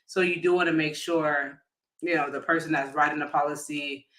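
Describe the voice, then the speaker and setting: A man giving an informal explanatory talk as an insurance agent, speaking casually and haltingly, often rephrasing mid-sentence. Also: a little high, fairly even intonation, fairly bright tone, low-quality recording.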